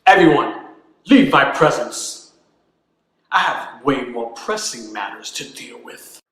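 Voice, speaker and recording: Guy doing a big pompous king, slow and swoopy, echoey room, little music behind. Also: expressive, fairly bright tone. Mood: imperious. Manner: booming, theatrical, demanding. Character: pompous fun-loving male king. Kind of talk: amateur movie voice acting.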